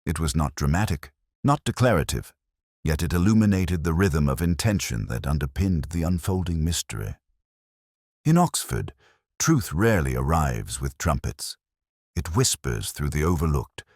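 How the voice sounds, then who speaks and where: Very deep-voiced man narrating a mystery. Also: darkish tone, no room sound, clean studio sound. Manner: measured, literary, contemplative delivery. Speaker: narrator of a detective mystery story. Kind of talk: scripted first-person audiobook-style mystery narration.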